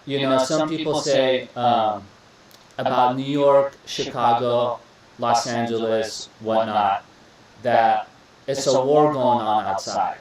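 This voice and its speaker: A young man from Iraq hosting a podcast interview, relaxed and chatty, often repeating words and calling his guest "bro.". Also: mid-range voice, slow pace, distant mic, a little hiss or hum. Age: young adult. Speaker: podcast host. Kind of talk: casual interview chat. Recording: echoey room, voice a bit off-mic.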